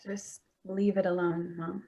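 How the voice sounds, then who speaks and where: A woman's voice: fairly low pitch, flat delivery, a little slow, vocal fry, dark tone. Woman acting a defensive, exasperated grown daughter. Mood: bristling. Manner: terse, bristling, defensive. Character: defensive, exasperated adult daughter with teenage child. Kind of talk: staged play reading.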